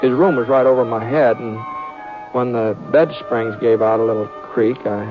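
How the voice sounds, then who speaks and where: A man's voice: medium pitch, fairly even intonation, moderate pace, natural voice. Male actor for a fair-minded, hesitant Western hero; American, stammering folksy drawl, muffled old radio sound with music. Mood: folksy storytelling. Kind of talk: 1950s Western radio drama acting and narration. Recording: dull vintage radio recording with music.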